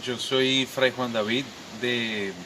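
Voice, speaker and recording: A young adult Franciscan friar from Bogotá with a Colombian accent gives a calm, reflective pastoral message outdoors by a church, pausing often with "eh". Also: male voice, medium pitch. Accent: Bogotá Colombian Spanish. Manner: calm reflective preaching with frequent "eh" pauses. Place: outdoors at a convent beside a church. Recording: clear outdoor background noise.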